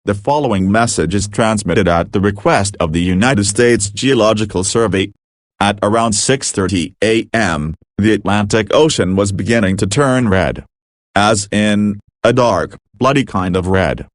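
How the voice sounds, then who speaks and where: A man's voice: lowish voice, measured pace, no echo, clean studio sound, close to an everyday voice. A man playing a frazzled emergency broadcast announcer in an amateur doomsday drama, mixing formal bulletins with slangy exclamations. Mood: grave. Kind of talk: amateur scripted emergency broadcast narration with informal outbursts.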